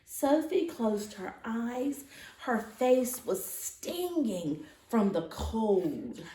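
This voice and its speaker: A woman reads a children's story aloud like a teacher to a class. Her voice is high and she takes her time, with dramatic expression. The room around her sounds echoey. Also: very slow. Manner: dramatic, expressive, with gasps and asides to listeners. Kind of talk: animated storybook read-aloud.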